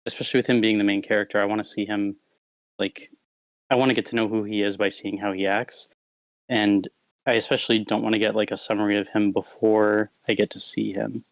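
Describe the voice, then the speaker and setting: A man with a fairly low voice talks through his feedback casually and tactfully. He hedges as he goes, speaking into an online meeting mic with little room sound. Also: level tone, fairly quick, gravelly, dry recording. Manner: hedging, diplomatic. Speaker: participant giving script feedback. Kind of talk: casual spoken critique. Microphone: online meeting microphone.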